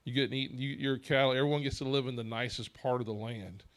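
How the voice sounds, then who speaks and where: A man leading a church Bible discussion in an earnest, measured way, asking rhetorical questions. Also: medium pitch, fairly even intonation.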